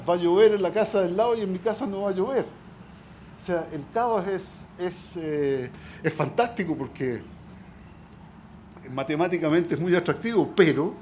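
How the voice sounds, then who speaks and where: A man's voice: high-pitched, darkish tone, slight background noise. A man speaking as a scientist on a conference panel, hesitant, with many fillers and restarts. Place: auditorium.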